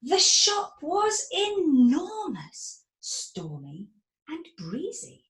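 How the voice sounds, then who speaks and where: Expressive woman reading a picture book. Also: high voice, drawn-out words, echoey room. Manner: expressive, sing-song, with dramatic whispers and gasps. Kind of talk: reading a rhyming children's book aloud.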